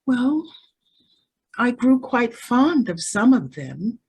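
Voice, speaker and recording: A woman's voice: on the high side, sing-song, takes it slowly, darkish tone, husky, full character voice. A woman playing a spoiled, naively callous young heiress in an old-time radio style drama, girlish and breezy in manner. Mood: breezily callous. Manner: breezy, girlish, petulant when crossed.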